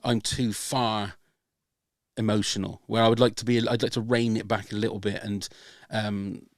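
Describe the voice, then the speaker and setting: A man's voice: on the low side, level tone, moderate pace, no echo, clean studio sound. An older man hosting a podcast, talking hesitantly and openly about himself, with many ums and restarts. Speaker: podcast host. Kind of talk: casual chat.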